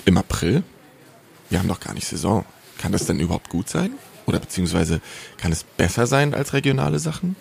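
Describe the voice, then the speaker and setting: A man's voice: sits low, big pitch swings, fairly quick, vocal fry, darkish tone. A man hosting a podcast with scripted narration, chatty and fond of posing rhetorical questions.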